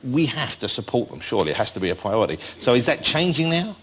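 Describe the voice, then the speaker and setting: A man's voice: mid-range voice, very animated, fast talker, fairly bright tone, husky. A man hosting a chat show in a TV studio, teasing his guest playfully and tripping over his words. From England. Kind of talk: joking late-night chat show interview. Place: TV studio with audience. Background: studio audience laughter.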